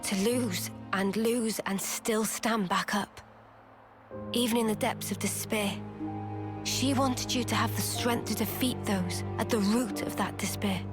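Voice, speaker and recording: A woman's voice: mid-range voice, fairly even intonation, unhurried, music in the background, close to an everyday voice. A woman playing a composed, devoted young warrior, earnest and resolute, in a dramatic video game cutscene. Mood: earnest, impassioned.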